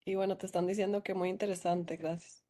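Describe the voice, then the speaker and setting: A woman's voice: lowish voice, level tone, fast, warm tone. A woman moderating a webinar over a video call, reassuring the speaker and reading out questions from the audience.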